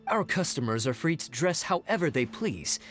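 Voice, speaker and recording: Shopkeeper, higher voice, animated, defensive, explaining, video game. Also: male voice, normal speed, soft background music. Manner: defensive, then eager to explain.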